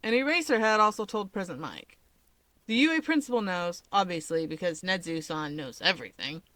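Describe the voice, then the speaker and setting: A woman's voice: on the low side, animated, a little fast, a bit bright, dry recording. A woman voicing an easygoing young man who explains things in a breezy, dismissive and cheerfully nonchalant way.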